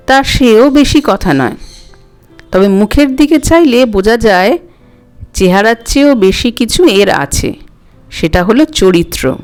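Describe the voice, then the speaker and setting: A woman's voice: mid-range voice, a little mellow. A woman reading a scripted story aloud as an audiobook narrator.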